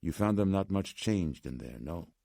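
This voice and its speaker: A man voicing an anxious, formal old gentleman with a Slavic accent, speaking deliberately as an audiobook character. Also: low voice, creaky, dry recording, clean studio sound. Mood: grave, cryptic. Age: older. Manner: formal, deliberate, agitated but striving to speak calmly.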